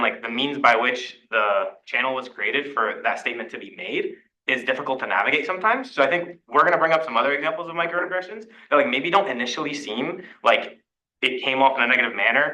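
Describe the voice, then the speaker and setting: A man's voice: medium pitch, bright, forceful tone, echoey room, low-quality recording. A young man leading a student meeting discussion, casual and full of fillers and restarts. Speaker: student senate discussion leader. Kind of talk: facilitating a group discussion.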